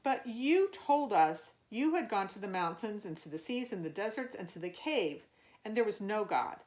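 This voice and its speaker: A puzzled, complaining villager from a children's storybook, voiced by a woman reader, pressing questions insistently. The pitch leaps about in lively swoops. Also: moderate pace, close to an everyday voice, low-quality recording. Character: crowd of doubting, puzzled, complaining townspeople.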